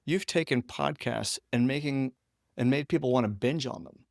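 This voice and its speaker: A man's voice: medium pitch, moderate pace, creaky, no echo, studio quality. A man hosting a conversational podcast interview, asking questions and commenting informally on the answers.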